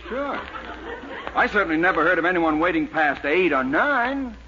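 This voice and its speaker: A tough, slangy bookie, a little high in pitch, clipped and incredulous in old radio comedy style. The recording is dull and clearly noisy. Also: male voice, lively intonation, normal speed. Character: wisecracking, brisk small-time bookie, tough guy. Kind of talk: 1940s radio comedy acting.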